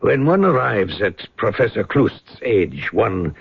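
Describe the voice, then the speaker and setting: Gravelly, guarded German man. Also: fairly low pitch, big pitch swings, no echo, full character voice. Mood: smoothly persuasive. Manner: clipped, formal, deliberate questioning. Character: guarded, probing German man, formal and faintly sinister. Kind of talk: radio drama acting. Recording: dull, dry radio drama recording.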